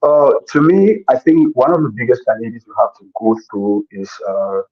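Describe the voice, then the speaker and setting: Guy being interviewed, kinda husky, repeats himself a lot, dull lo-fi sound. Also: medium pitch, animated, normal speed. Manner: earnest, repeats himself while searching for words. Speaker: interview guest. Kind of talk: casual conversational interview. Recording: dull lo-fi recording.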